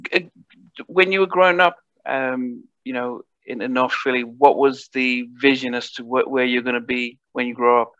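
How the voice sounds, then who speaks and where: Um homem apresentador fazendo perguntas amigáveis e um pouco hesitantes numa entrevista, usando microfone de headset. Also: male voice, medium pitch, fairly even intonation, moderate pace, a bit bright, dry recording, dull audio. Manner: friendly, hesitant questioning. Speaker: podcast host and interviewer. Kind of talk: interview questions. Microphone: headset mic.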